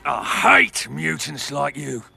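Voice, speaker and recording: A man voicing a hostile, contemptuous thug with a rasping, gravelly voice in a video game. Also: a little high, expressive, takes it slowly, bright, forceful tone, faint music behind, exaggerated voice. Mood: menacing contempt. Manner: menacing, contemptuous. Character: hulking, hostile, bigoted arm-wrestling thug.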